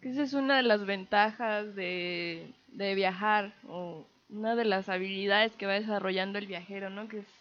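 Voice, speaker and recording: A woman's voice: medium pitch, fairly even intonation, moderate pace, few pauses, dull audio. A woman hosting a casual radio interview, chatty and friendly in her questions. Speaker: radio host.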